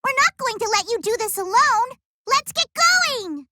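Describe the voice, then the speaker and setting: A woman voicing a spirited, bossy young girl sidekick in an animated game, emphatic and earnest. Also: very high-pitched, normal speed, noisy background. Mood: resolute. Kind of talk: animated game character acting.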